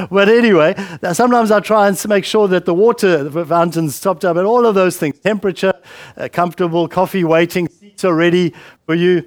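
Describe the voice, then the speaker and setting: A man's voice: high-pitched, moderate pace, no room sound, clean studio sound. Rambling, conversational male preacher. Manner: rambling, conversational, with many fillers and asides. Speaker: pastor. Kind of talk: sermon. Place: church hall. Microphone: handheld mic with a weak battery.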